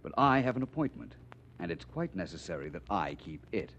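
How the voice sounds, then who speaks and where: An actor playing a suave, drily witty gentleman detective, polished and courteous, in 1940s radio style. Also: deep voice, very animated, brisk, loud music behind the voice. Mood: dryly amused. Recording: muffled, hissy 1940s radio recording.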